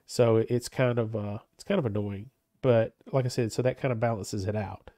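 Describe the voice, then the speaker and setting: A man's voice: fairly low pitch, steady tone, moderate pace, mellow, no room sound, clean studio sound. A man with a cold and a stuffed-up voice, giving casual, rambling commentary as he plays a computer game, often hesitating and restarting his sentences. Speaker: gaming channel host. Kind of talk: casual let's-play commentary.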